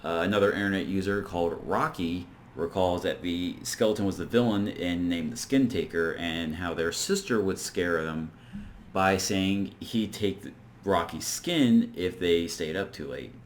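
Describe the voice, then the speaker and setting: A man's voice: low-pitched, unhurried, slight background noise. A man hosting an informal video essay, recapping and commenting in a rambling, conversational way. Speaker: video reviewer and host.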